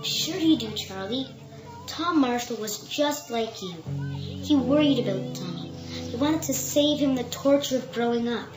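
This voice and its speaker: An actor in a radio drama playing an eerily calm little boy who speaks with the knowing, deliberate manner of a grown man. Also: mid-range voice, close to an everyday voice. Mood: persuasive, cryptic. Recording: roomy echo under prominent music.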